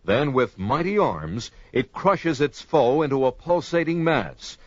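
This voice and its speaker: Casting: dramatic adventure narrator, portentous and emphatic, lively swings in pitch, dull recording over music. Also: male voice, measured pace, no echo. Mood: grandiose. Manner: grandiose, emphatic. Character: grandiose male storyteller narrating barbarian adventure.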